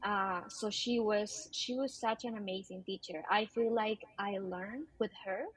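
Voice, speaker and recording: Podcast guest: young woman from Peru, breathy, hesitant, conversational. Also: medium pitch, fairly even intonation, unhurried, rough recording. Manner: hesitant, many ums and uhs. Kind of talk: casual interview conversation.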